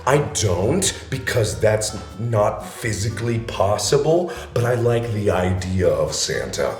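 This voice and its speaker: A man in his twenties hosting a joking vlog, hoarse and gravelly from being sick, talking loosely with loud bursts. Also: lowish voice, lively intonation, slow pace, faint music behind. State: hoarse from illness. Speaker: YouTube host. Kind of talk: casual comedic vlog. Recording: echoey room.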